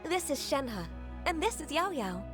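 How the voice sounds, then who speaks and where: A gentle, sleepy young lady in a fantasy game. Her voice is high, hesitant and courteous, with faint music behind it. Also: very animated, a little slow. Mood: polite. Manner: soft-spoken, hesitant, courteous. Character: gentle, drowsy, polite young woman. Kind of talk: video game dub acting. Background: faint background music.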